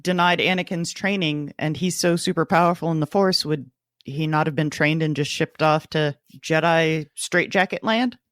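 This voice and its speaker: A man's voice: on the high side, steady tone, moderate pace, fairly bright tone, no room sound, studio quality. A man co-hosting a podcast, talking casually through a book discussion. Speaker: podcast co-host.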